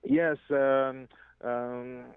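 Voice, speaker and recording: A man's voice: medium pitch, little pitch movement, very slow, clear, resonant tone, dry recording. Hesitant man on a tinny phone line. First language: French. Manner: hesitant, searching for English words, many restarts. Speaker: guest singer. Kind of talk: interview over the phone. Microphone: telephone.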